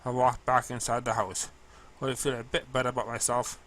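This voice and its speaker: A man narrating amateur fan fiction in a halting read, now and then stumbling over words. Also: mid-range voice, little pitch movement, fairly bright tone, slight background noise. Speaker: story narrator.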